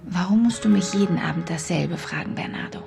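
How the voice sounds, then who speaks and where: A woman's voice: lowish voice, brisk, gravelly. An actress playing a patient but firm mother of a young boy in a radio play. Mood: stern. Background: music.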